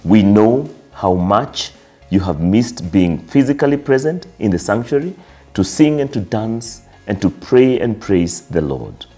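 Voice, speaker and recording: Kenyan male pastor, middle-aged, deep and slightly husky, deliberate public-address delivery, music underneath. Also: big pitch swings, warm tone. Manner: measured, formal, deliberate phrasing. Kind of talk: prepared public address to a congregation. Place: office, seated at a desk indoors.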